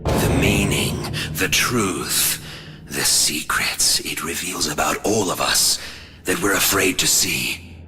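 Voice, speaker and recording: An actor voicing a murderous male symbiote-bonded psycho killer in a video game cutscene, speaking in a slow, taunting, raspy whisper that breaks into shouts. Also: low voice, soft background music, heavily acted. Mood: menacing.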